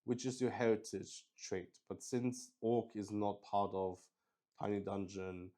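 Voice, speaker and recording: A man's voice: fairly low pitch, flat delivery, measured pace, mellow. A man hosting a solo tabletop game stream, chatting casually and unscripted in a rambling way that often restarts sentences. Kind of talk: casual livestream chat, unscripted.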